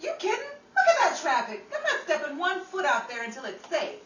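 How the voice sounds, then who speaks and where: A woman plays a wisecracking, star-struck dame in a 1930s radio comedy. She is high-pitched and wildly animated, brash and sarcastic. The recording is very noisy, with a loud audience around her. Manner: sarcastic, melodramatic, fast-quipping. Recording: echoey distant stage recording, loud noise, audience.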